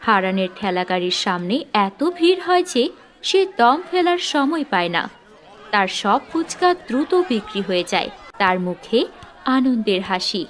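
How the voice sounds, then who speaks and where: A woman's voice: medium pitch, big pitch swings, moderate pace, a faint crowd. A woman reading scripted narration for a cartoon story.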